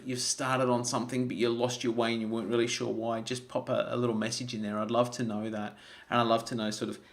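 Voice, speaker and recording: Earnest man in his forties, mid-pitched, stumbling over restarts as he livestreams. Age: 40s. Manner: earnest, repeats words, many restarts. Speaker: health vlogger. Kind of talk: live-streamed motivational talk.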